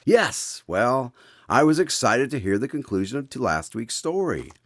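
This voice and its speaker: A man's voice: mid-range voice, big pitch swings, normal speed, no echo, studio quality. A man playing an eager, inquisitive host in a radio drama, speaking formally as announcer and listener. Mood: curious. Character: eager male host visiting a doctor to hear his stories.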